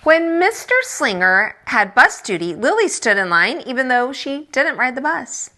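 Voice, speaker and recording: Woman, high-pitched voice, lively storytime read-aloud, teacher, dramatizing. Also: a little slow. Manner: animated, dramatizing, with asides explaining the pictures. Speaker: teacher reading a picture book aloud.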